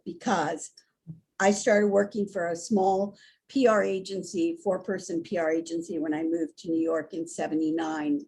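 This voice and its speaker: A woman in her sixties from Hawaii, speaking as a guest in an animated, candid conversation full of restarts and asides. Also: mid-range voice, creaky, a little hiss or hum, rough recording. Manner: animated and candid, with frequent restarts and "you know". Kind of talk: conversational storytelling.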